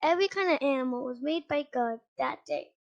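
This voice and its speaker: A female reader with a high voice reading a children's Bible story aloud, halting now and then over words. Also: huge pitch swings, muffled recording.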